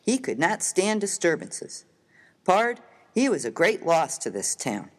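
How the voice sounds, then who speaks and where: A man playing a rough, hearty, good-natured American miner of the Old West in a dramatised story reading, with slangy, folksy, emphatic speech. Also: high voice, sing-song, measured pace, fairly bright tone, full character voice. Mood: admiring, boastful.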